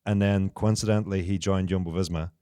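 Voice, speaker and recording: A man's voice: deep voice, steady tone, fairly quick, mellow, no room sound, studio quality. A man co-hosting a casual podcast chat, analytical, working through long explanations with restarts. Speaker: podcast co-host.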